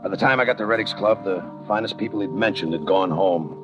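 An actor playing a tough, world-weary homicide lieutenant with a terse, dryly sardonic delivery in a 1950s radio detective drama. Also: male voice, low voice, a little fast, lightly acted. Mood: weary narration. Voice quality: hard-boiled, terse. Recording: dull vintage 1950 radio recording. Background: music.

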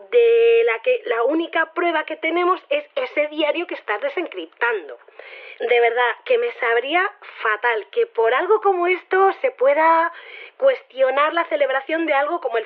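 An actress with a Spain Spanish accent playing an earnest, conversational woman debater in an audio drama. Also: high-pitched. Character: earnest woman debater defending her community festival. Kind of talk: naturalistic audio fiction acting. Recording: thin phone-like radio line.

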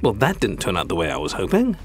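A man's voice: lowish voice, wildly swooping pitch, fast, faint music behind. A British man hosting a scripted comic language lesson, speaking in a prim, formal and wordy manner. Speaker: podcast host.

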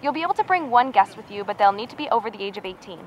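A woman's voice: mid-range voice, expressive, rapid-fire, natural voice. A woman playing a friendly, effusive assistant, upbeat and polite on a phone call in an audio drama. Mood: excited, friendly. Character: friendly, gushing female assistant to a TV creator. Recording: dull phone-call sound, faint ambient noise.

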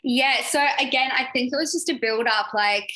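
A woman's voice: high-pitched, normal speed, pushed and bright. A young woman chatting as an interview guest, talking casually with many fillers. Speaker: guest, yacht crew member.

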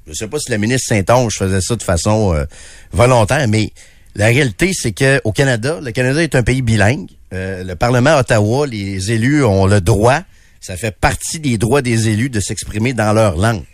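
A man's voice: lowish voice, a little fast, no room sound. Québécois man, radio co-host, emphatic, indignant, dry close sound. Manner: emphatic, indignant, many restarts. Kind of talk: opinionated radio talk. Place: radio studio.

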